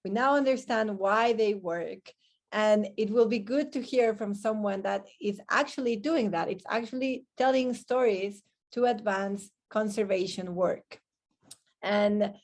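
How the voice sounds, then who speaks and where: Female panel moderator, formal read introductions at a mid pitch. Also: darkish tone. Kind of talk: reading a speaker introduction.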